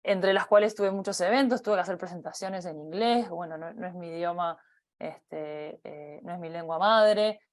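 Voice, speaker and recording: A woman from Uruguay with a Uruguayan Spanish accent talks through her working life in a conference talk. Her voice sits a little low, and she often stops on fillers and restarts her sentences. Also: normal speed. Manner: hesitant, many fillers and restarts. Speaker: company partner giving a talk. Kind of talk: personal career talk.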